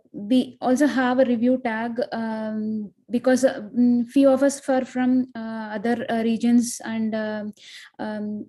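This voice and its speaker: A woman's voice: on the high side, dark tone. A woman answering a question on a video call, hesitant, with very many fillers. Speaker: project team member.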